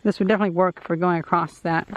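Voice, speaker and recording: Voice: medium pitch, fairly even intonation, racing. A vlogger gives casual, unscripted commentary outdoors while testing gear, musing and thinking aloud. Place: outdoors along a road. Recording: dull audio, faint background noise.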